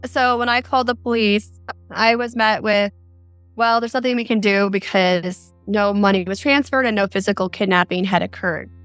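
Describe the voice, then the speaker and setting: A woman's voice: mid-range voice, fairly even intonation, normal speed, fairly bright tone, no room sound, soft background music. A middle-aged woman telling her own story as an interview guest. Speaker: interview guest, mother recounting a scam. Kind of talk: recounting a personal experience in an interview.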